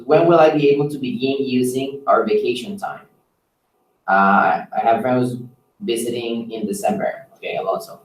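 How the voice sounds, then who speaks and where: A man's voice: mid-range voice, normal speed. A man moderating an informal conference call, taking questions and answering them as a staff member. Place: echoey room on a conference call. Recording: echoey room, distant conference mic.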